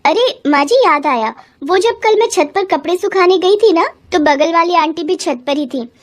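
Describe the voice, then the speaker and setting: Woman playing a sweet, inquisitive cartoon bride, pitched up high. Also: normal speed. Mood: puzzled. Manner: bright, questioning. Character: polite young new bride, curious and surprised.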